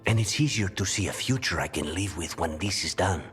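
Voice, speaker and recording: A low-voiced, brooding assassin who shares his body with a demon, from a video game cutscene. He speaks gravely and with measure, in a forceful tone that drops to a hush at phrase ends, over faint music. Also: brisk. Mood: grim resolve.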